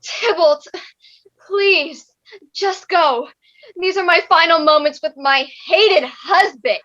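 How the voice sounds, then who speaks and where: A woman's voice: extremely high pitch, slow pace, bright, forceful tone, lo-fi sound, exaggerated voice. A woman playing a bitter, sarcastic young wife in a comic staged reading, with melodramatic exasperation. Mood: dramatic farewell.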